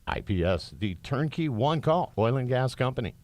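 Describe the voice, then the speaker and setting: A man's voice: mid-range voice, lively intonation, normal speed, a little mellow, no echo. A man reading a scripted radio advert as an announcer.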